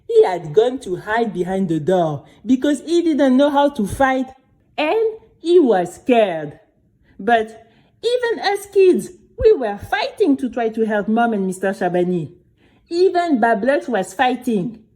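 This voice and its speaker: A woman's voice: on the high side, unhurried. A woman playing a spirited young girl who recounts her adventure to friends in a chatty, dramatic first-person audio story. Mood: excited, dramatic. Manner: animated, chatty, addresses listeners directly.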